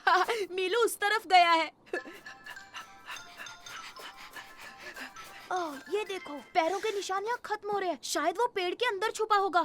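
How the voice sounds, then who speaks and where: A little cartoon rabbit boy speaks in a very high, childlike voice. He is an eager, confident big brother who encourages everyone. Music plays clearly behind him. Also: brisk, fairly bright tone. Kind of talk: Hindi-dubbed children's cartoon acting.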